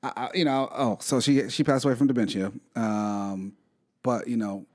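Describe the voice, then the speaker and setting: A man's voice: medium pitch, steady tone, moderate pace, darkish tone. A man co-hosting a casual podcast, chatting in a rambling way with lots of restarts. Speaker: podcast co-host. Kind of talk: casual chat.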